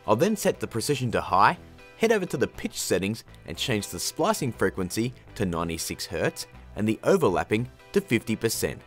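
Very animated male tutorial host. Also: on the low side, fairly quick, soft background music. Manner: jokey, informal, slangy. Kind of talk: casual scripted software tutorial.

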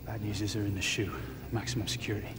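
A man's voice: on the low side, level tone, quick pace, music in the background, natural voice. An actor playing a blunt, streetwise male inmate planning a prison breakout in a video game. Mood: brisk, grim. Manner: blunt, urgent, swearing. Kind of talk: video game cutscene acting.

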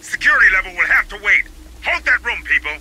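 An actor playing a cynical, streetwise squad adviser in a video game, talking in a sardonic, clipped way. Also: male voice, sits high, very animated, fast talker, background noise. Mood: urgent. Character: wry, streetwise male squad adviser, cynical rebel realist. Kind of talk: video game cutscene and mission dialogue acting. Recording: game music behind the voice.

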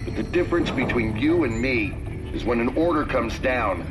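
A man's voice: mid-range voice, animated, normal speed, over music, lightly acted. A man playing a cold, duty-bound soldier turned adversary in a video game, speaking sternly and insistently. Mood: stern, resolute. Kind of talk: dramatic game voice acting. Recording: very noisy, radio-like game ambience.

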